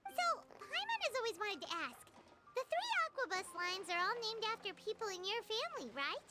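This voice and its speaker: A squeaky little sprite companion. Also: female voice, big pitch swings, fairly quick, very noisy. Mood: curious. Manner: refers to herself in the third person, nosy. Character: tiny chirpy female floating companion sprite. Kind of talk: game cutscene voice acting. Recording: game audio over loud music.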